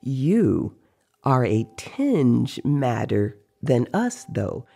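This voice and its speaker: Reader acting male sorcerer, teasing storyteller, mellow, creaky, unhurried, audio drama. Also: medium pitch, sing-song, faint music behind, heavily acted. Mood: storytelling. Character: Don Genaro, teasing male sorcerer telling dream tales.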